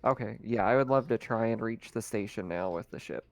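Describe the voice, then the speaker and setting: A man's voice: lowish voice, a little fast, gravelly, no echo. A man playing a tabletop game, chatting casually and asking tentative questions. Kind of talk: casual game session chat.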